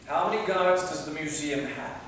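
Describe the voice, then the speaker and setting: Flustered lovestruck thief, male stage reader, high bright voice, distant in a noisy hall. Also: normal speed, lightly acted. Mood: bossy, urgent. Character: Henry, flustered lovestruck man caught stealing his diary. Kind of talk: staged play reading. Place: theater hall, mic at a distance. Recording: roomy hall from far off, noisy.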